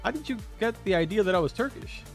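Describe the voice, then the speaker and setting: Young male streamer, Spanish as his first language, slightly high voice, loose and chatty, soft music underneath. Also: lively intonation, fast, vocal fry. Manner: chatty, filler-heavy, answers chat questions. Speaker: game streamer. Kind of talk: casual live-stream chat with viewers. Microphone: studio microphone. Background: faint game music.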